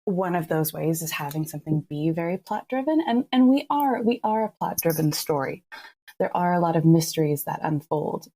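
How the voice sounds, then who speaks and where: A woman with a low, soft-edged, mellow voice reflects on creative work as a podcast creator. She is earnest and thoughtful in her delivery. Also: animated, normal speed. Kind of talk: reflective discussion.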